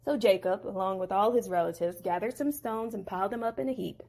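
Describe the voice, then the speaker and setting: A woman's voice: mid-range voice, a little fast, a little mellow. A woman narrating a dramatized Bible story in a casual, slangy, playful way.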